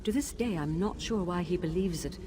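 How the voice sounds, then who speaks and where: Fantasy game ghost, played by a woman: wronged wife and teacher, grieving, lowish female voice, theatrical gravity, noisy backdrop. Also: brisk, close to an everyday voice. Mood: solemn, sorrowful. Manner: mournful, solemn, dramatic. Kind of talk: fantasy video game voice acting.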